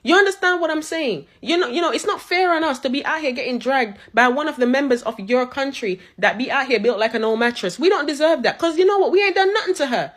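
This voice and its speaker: A woman pleads casually, full of slang. Her voice sits high. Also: lively intonation, fast talker, hardly any pauses. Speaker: social media commenter. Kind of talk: casual appeal to viewers.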